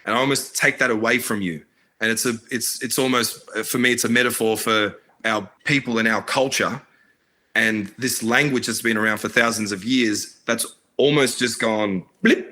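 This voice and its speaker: A man with a bright, forward tone and a mid-range voice talks earnestly in a casual interview. His speech is halting, full of fillers and restarts. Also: mid-range voice, fairly even intonation. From Iraq, raised in Australia. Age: adult, married. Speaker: filmmaker guest.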